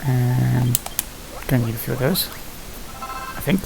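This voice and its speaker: A gamer giving casual gameplay commentary, muttering and thinking aloud to themselves in a gravelly voice. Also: mid-range voice, unhurried, over music. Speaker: gamer hosting a gameplay series. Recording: hissy, noisy home recording.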